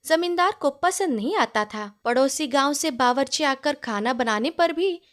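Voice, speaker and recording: A woman's voice: high-pitched, lively intonation, measured pace, a bit bright. A woman storyteller reading a scripted tale as narration.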